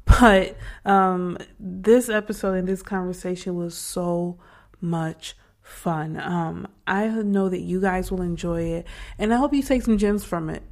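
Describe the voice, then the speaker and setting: A young American woman hosting a podcast, speaking softly with many ums and restarts in a casual interview chat. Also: fairly low pitch, measured pace. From Michigan, USA. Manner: soft-spoken, with frequent ums and restarts. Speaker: podcast host.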